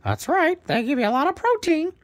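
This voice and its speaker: A man's voice: very high voice, huge pitch swings, a little fast, no room sound, voice pushed up, heavily acted. A man voicing a cheerful, hospitable male rainbow parrot for a children's bedtime story, eager and welcoming, often repeating his phrases.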